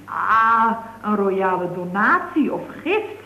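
A woman's voice: mid-range voice, sing-song, slow pace. A woman playing a chatty, cheeky office secretary in a radio comedy around 1970, gossipy and full of tag questions. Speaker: actress in a radio comedy serial. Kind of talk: 1970s Dutch radio comedy acting. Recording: dull, echoey, noisy vintage radio recording.

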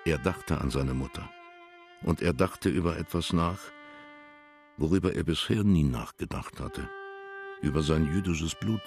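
A man's voice: rumbling low, a little slow, long pauses, a little mellow, soft background music. A man narrating a literary German radio drama from a script, as its storyteller.

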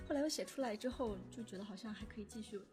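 A woman's voice: fairly high pitch, very fast, darkish tone, faint music behind. A woman chatting casually about creative work, as a composer on an audio drama team. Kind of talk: casual chat.

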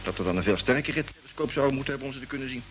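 A man's voice: medium pitch, lively intonation, racing, fairly bright tone, close to an everyday voice. Male actor for flustered space crewman, radio drama. Informal, slightly stammering, middle register, over music. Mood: flustered, defensive. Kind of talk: old radio drama acting.

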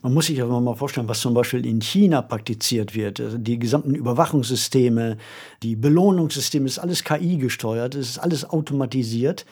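A man's voice: mid-range voice, fairly quick, a little mellow, slightly rough. A man co-hosting a podcast in casual discussion. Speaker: podcast co-host.

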